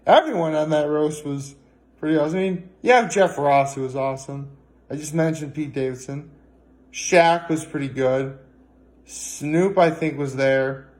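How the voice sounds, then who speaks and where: A man chatting casually in a vlog, rambling and hesitant, with frequent pauses and filler words. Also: a little high. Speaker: vlogger.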